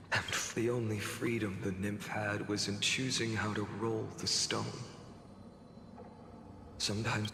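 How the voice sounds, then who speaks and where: A man voicing a weary, sorrowful, quietly wrathful hero in a dramatic video game monologue, solemn and deliberate. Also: lowish voice. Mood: grave, resigned. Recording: echoey long reverb, music underneath. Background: dramatic game music.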